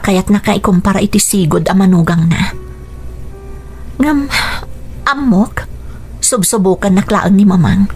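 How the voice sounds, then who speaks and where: An actress playing a patient, devoted young wife in a radio drama, earnest and long-suffering. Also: female voice, mid-range voice, darkish tone, lightly acted.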